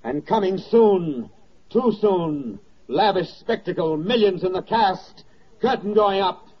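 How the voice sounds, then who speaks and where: An actor as a grave, sardonic narrator of a wartime 1940s radio drama, declaiming in a rhetorical style. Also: male voice, high voice, expressive, slow, slightly rough, full character voice. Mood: rising, proclaiming. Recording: dull 1940s radio record with music.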